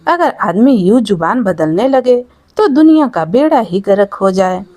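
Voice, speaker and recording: Woman acting an old peasant father, humble, aggrieved, plaintive, slow, animated, folk storybook tale. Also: mid-range voice, no room sound, natural voice. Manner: pleading, plaintive, simple. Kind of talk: Hindi moral storytelling.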